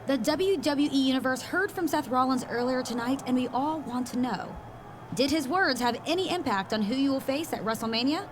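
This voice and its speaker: An actress playing an inquisitive backstage wrestling interviewer in a video game, asking questions in broadcast style. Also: female voice, sits high, a little fast, over music, close to an everyday voice. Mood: probing.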